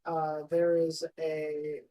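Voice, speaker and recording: A woman's voice: low-pitched, completely flat delivery, very slow, warm tone, muffled recording. A woman chatting casually and informally as she streams game cutscenes. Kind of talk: casual chat. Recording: music playing behind the voice.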